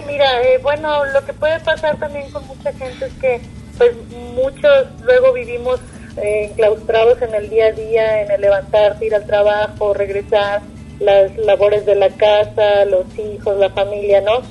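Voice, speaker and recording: A woman's voice: medium pitch, level tone, unhurried, lo-fi sound, faint music behind. A woman from Mexico with a Mexican accent, speaking casually and conversationally as an interview guest. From Puerto Vallarta, Mexico.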